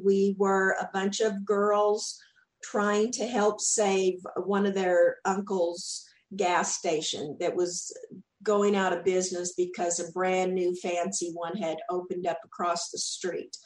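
A woman's voice: mid-range voice, level tone, takes it slowly, reverberant, rough recording. A woman from Texas with a Southern accent, chatting as an actress guest in an interview and often repeating words as she starts a thought. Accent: Southern US, Texan. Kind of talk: casual interview chat.

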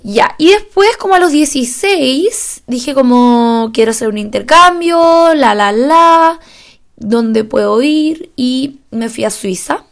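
A woman's voice: sits high, expressive, slow pace. A young woman from Chile with a Chilean Spanish accent, talking casually on her own podcast, chatty with fillers and small laughs. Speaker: podcast host.

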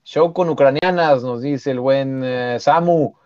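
A man's voice: medium pitch, takes it slowly, darkish tone, no room sound. A man co-hosting a live sports stream, chatting casually and greeting viewers with many fillers. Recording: dry, dull lo-fi sound.

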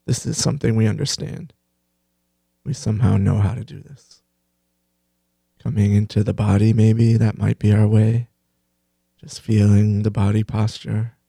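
Male meditation teacher: very soft dark tone, breathy, unhurried, gently pausing, close dry sound. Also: on the low side, no echo. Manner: gentle, unhurried, pausing between short phrases. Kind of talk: guided meditation.

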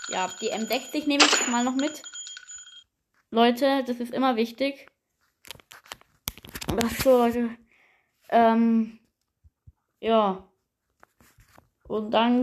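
A woman casually improvising a first-person game story, chatty and halting, often addressing her listeners. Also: on the high side, a little hiss or hum. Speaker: narrator and player.

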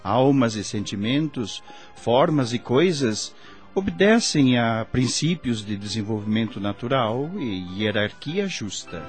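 An actor playing a patient, kindly male spirit guide in a radio drama, teaching in formal, measured diction. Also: medium pitch, lively intonation, faint music behind, close to an everyday voice. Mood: solemn, instructive.

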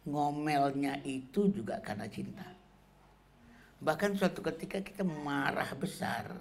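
A middle-aged man giving a relaxed, conversational talk on parenting, often tagging sentences with casual fillers. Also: fairly high pitch, gravelly. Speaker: parenting speaker.

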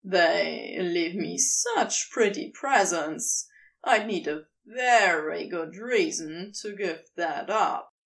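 A woman's voice: lowish voice, animated, vocal fry, fairly bright tone, far from the mic, pitched below the performer's own voice, exaggerated voice. A woman voicing a giant male sea serpent in a storybook reading, sly, mocking and taunting without hurry. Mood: smug, teasing. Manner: taunting, unhurried.

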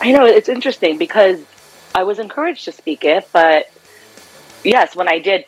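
Husky-voiced American woman guest. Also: medium pitch, lively intonation, fairly quick, faint music behind, poor audio. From Los Angeles, United States. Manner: chatty, laughing easily, with many restarts. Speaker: guest, clinical psychologist. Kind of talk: casual radio interview.

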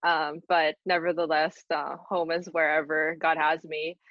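Young filipino-raised woman chatting on a podcast, kinda low voice, dull dry lo-fi sound. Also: little pitch movement, measured pace, dry recording. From Philippines. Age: 20s. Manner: earnest, upbeat, many fillers. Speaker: podcast co-host. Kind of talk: casual chat.

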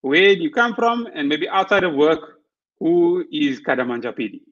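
A man's voice: fairly high pitch, normal speed, a bit bright, muffled recording. A man hosting a live interview show, who asks his questions in a structured way. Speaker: podcast host.

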